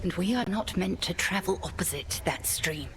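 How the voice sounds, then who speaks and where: A woman voicing a stern, commanding headmistress witch in a game, speaking in clipped orders with a gravelly voice, over game music. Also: fairly low pitch, normal speed, a bit bright, a little hiss or hum. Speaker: headmistress and mentor. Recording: game dialogue with music behind.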